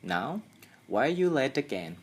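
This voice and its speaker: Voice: medium pitch, big pitch swings, unhurried, husky, pitched above the performer's own voice. A young reader with a Thai accent voicing a stern, formal male schoolteacher who scolds a pupil in an audiobook.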